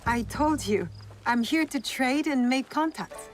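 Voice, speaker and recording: A woman's voice: high-pitched, measured pace. An actress playing a guarded young woman posing as a trader in a video game, direct and questioning. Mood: direct, reserved. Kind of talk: video game cutscene dialogue.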